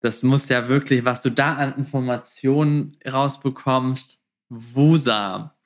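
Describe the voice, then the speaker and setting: Man, raised pitch, casual interviewer, informal, English phrases mixed in. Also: steady tone, slow. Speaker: podcast host, interviewer.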